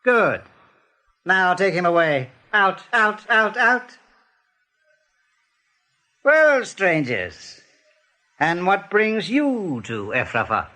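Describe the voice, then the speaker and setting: A commanding rabbit general from a radio play. His bright, forceful voice moves widely in pitch. He is measured, formal and coldly menacing in his courtesy. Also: sing-song, exaggerated voice. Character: commanding male rabbit general, coldly authoritarian warren ruler.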